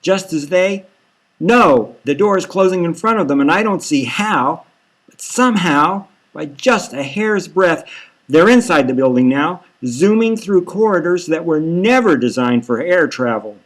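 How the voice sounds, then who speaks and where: A man voicing an excitable news reporter machine giving live commentary on a chase in an audiobook. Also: fairly high pitch, a little slow, voice pushed up. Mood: excited.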